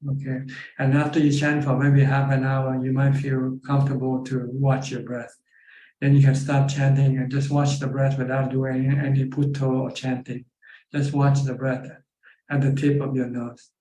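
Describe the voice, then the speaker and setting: Calm Thai-accented man teaching meditation. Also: medium pitch, normal speed, echoey room, a little hiss or hum, poor audio. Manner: calm, patient, plain instructive phrasing. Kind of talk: answering questions on a live video call. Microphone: video call.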